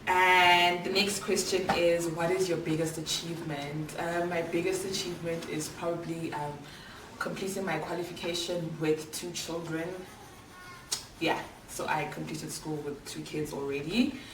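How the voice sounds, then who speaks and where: Low-voiced chatty South African woman. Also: level tone, a little slow. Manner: chatty and candid, with slang and fillers. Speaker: vlogger. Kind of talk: casual Q&A chat to camera. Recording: echoey room, voice a little far from the mic, clear background noise.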